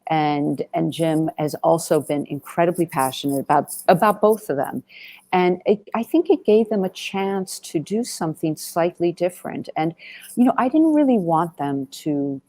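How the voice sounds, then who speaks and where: A woman with a notably deep voice and heavy creak answers interview questions as a film director. The sound is dry, with little room to it. She talks conversationally and discursively, circling back to rephrase and stress her points. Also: warm tone, no room sound. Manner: discursive, often restarts and rephrases, emphatic repetition.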